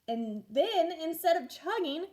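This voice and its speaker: A woman reading a children's storybook aloud as a teacher would, expressive and clearly paced for young listeners. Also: very high-pitched, a little slow. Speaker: first grade teacher reading stories aloud.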